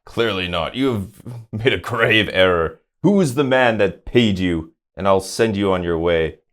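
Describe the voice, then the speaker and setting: A man playing a stern, imposing draconic man in a tabletop game, speaking in a grave, deliberate, accusing manner. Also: low voice, animated, a bit bright. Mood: stern, indignant.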